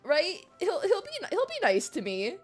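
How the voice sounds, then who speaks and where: A woman streamer chatting casually and playfully about a video game, expressive and prone to giggling. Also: very high-pitched, normal speed. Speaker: streamer, host. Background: music.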